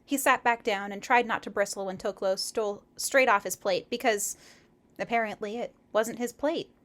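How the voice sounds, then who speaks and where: A woman hosting a casual livestream read-aloud, chatting and reading in an expressive, effusive, giggly way. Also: mid-range voice, normal speed. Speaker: livestream host reading fan fiction aloud.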